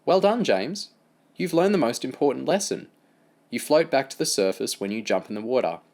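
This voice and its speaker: A man voicing a kind, patient young female swimming teacher who talks gently and reassuringly. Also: mid-range voice, animated, moderate pace, pitched above the performer's own voice, lightly acted. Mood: encouraging.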